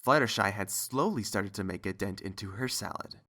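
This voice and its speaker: A man's voice: on the low side, moderate pace, pushed and bright. A man reading a scripted story as its narrator.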